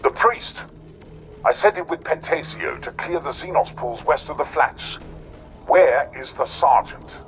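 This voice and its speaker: An actor playing a newly promoted space marine captain, weary of council duties. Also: male voice, fairly high pitch, a little slow. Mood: alarmed. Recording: game cutscene with music.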